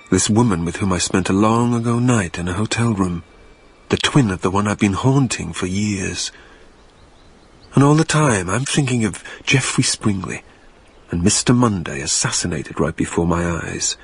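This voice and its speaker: An actor playing a haunted, wistful man in an intimate radio drama, speaking in a hushed, deliberate, poetic way. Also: lowish voice, breathy edge, heavily acted. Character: haunted, wistful man facing his own death. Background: music.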